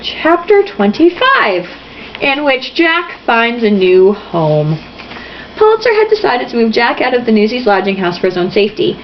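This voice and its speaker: Woman reader, highish and very animated, casual and sarcastic, theatrical delivery, lo-fi home-style sound. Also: moderate pace. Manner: theatrical, mocking. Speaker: host reading a novel aloud with jokey commentary. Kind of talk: casual dramatic read-aloud with sarcastic asides. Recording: dull lo-fi sound, faint noise.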